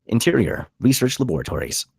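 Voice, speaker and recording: A man's voice: medium pitch, very animated, fairly quick, a little mellow, breathy edge, no room sound, clean studio sound. A man reading stage directions as dramatic scripted narration at a table read.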